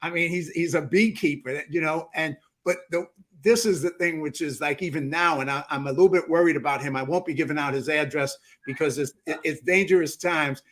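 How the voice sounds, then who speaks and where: A man's voice: fairly high pitch, a bit bright. A man hosting a podcast interview, teasing his guest in a playful, chatty way with many restarts. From New York. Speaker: podcast host. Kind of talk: casual interview chat.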